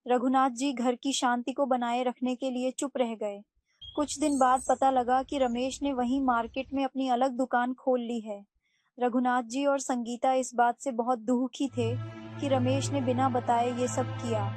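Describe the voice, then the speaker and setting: High-voiced woman narrating a cartoon. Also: flat delivery, unhurried, a little mellow, no echo, faint music behind. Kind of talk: scripted storybook narration.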